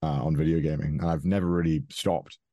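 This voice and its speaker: A man's voice: rumbling low, steady tone, brisk, mellow, dry recording, studio quality. A man, chatting as an interview guest, with plenty of fillers and restarts. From Wales. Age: 20s. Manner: hesitant, many restarts and fillers, laughs often. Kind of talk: casual interview chat.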